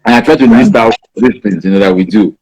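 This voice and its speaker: A man's voice: on the low side, fast talker, a little mellow, poor audio. Nigerian man rambling with many fillers. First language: Igbo. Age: 50s. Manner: rambling, many "you know" fillers and restarts. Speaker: writer being interviewed. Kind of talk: casual interview answers.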